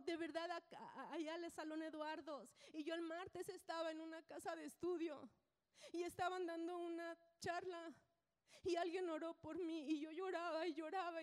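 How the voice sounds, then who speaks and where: High-voiced woman preaching in a reverberant hall. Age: 50s. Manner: animated, colloquial, repeats phrases, acts out dialogue. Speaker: church speaker giving testimony. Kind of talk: testimony preached to a congregation, storytelling. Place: large indoor hall, speaking to an audience. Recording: church hall with long reverb.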